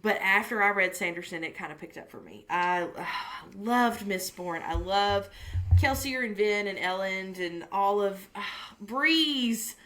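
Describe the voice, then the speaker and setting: A woman's voice: medium pitch, expressive, unhurried, fairly bright tone. A woman co-hosting a casual book podcast, talking with gushing enthusiasm and plenty of "um"s. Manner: gushing and chatty, with many fillers. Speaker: podcast co-host. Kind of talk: casual chat.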